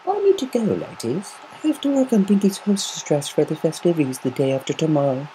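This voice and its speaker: A man's voice: a little high, big pitch swings, fairly quick, warm tone, slight background noise, voice pushed up, rough recording. A man voicing a refined female unicorn pony with a prim, disapproving, huffy manner. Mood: exasperated, polite.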